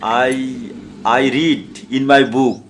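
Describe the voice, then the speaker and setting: A man with a Bangladeshi accent chatting casually in halting, simple learner's English on an online video call. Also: medium pitch, fairly even intonation, music in the background. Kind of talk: casual English practice conversation. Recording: clear background noise, online call.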